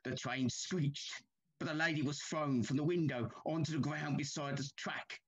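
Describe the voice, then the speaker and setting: A man's voice: fairly high pitch, steady tone, normal speed, husky. An actor playing a haunted, troubled railway signalman in a period audio drama, telling his tale with grave urgency. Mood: troubled, urgent.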